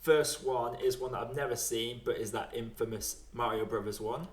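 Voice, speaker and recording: A young man hosts a film podcast in a loose, chatty way. His voice sits at an average male pitch, and he is informal and quick to chuckle. The recording is noticeably echoey, like an untreated room. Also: fairly even intonation. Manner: chuckles often while talking, informal swearing. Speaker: podcast host and film reviewer. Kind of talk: casual podcast chat.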